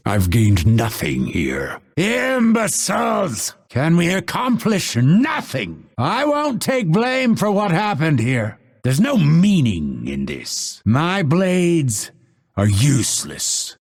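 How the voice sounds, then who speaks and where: A cold, proud video game assassin, speaks in a gravelly, husky male voice. The delivery is slow and theatrical, with clipped, disdainful phrases that swing widely in pitch. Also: darkish tone, heavily acted. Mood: angry, exasperated. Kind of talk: video game character voice lines.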